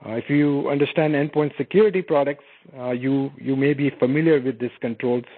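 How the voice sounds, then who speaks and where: A man giving an explanatory product presentation on a conference call, speaking haltingly with frequent filled pauses. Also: medium pitch, fairly even intonation. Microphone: conference call phone line. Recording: muffled conference phone line.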